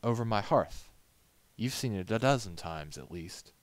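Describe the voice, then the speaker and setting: A man's voice: on the low side, animated, unhurried, no echo, voice pushed up. A man voicing a practical male pony puppet-maker in a storybook reading, dry, teasing and matter-of-fact. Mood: conceding.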